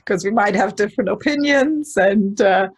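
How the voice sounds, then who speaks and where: A woman's voice: medium pitch, moderate pace, husky. A woman, co-hosting a conversational talk as a relationship coach. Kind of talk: conversational presentation.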